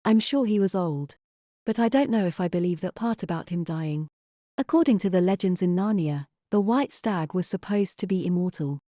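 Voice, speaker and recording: A woman's voice: fairly low pitch, expressive, moderate pace, warm tone, no room sound, clean studio sound, lightly acted. A woman playing an earnest, doubting wife and mother in an audio drama. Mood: polite, thoughtful.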